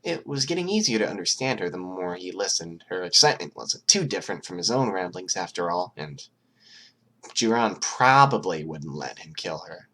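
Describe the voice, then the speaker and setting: An audiobook narrator reads fiction in a husky, slightly breathy voice. The delivery is expressive, with lively movement in pitch. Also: normal speed, rough recording.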